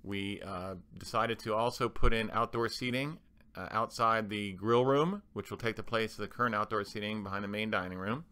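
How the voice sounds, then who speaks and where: Male manager for presentation voice-over: bright, forceful, smooth tone, explanatory and somewhat halting. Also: lowish voice. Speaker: club manager presenting renovation plans.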